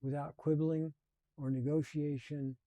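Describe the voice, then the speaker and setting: A man in his seventies telling his life story in a slow, reflective and earnest way. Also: fairly high pitch, level tone, warm tone, dry recording. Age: 70s. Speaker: channel host. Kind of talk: personal storytelling.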